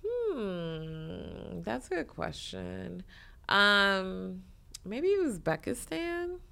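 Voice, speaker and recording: A woman's voice: mid-range voice, very animated, extremely slow, vocal fry, sharp tone, smooth. A woman, a guest chatting casually in an interview, laughing often and full of "like" and "um". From Detroit, USA.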